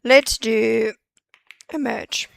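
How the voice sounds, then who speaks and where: Slow, clear-voiced woman thinking aloud. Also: fairly high pitch, steady tone, no echo, studio quality. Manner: chatty, mutters to herself while working. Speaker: 3D artist streaming a modeling session. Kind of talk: live-stream tutorial, thinking aloud.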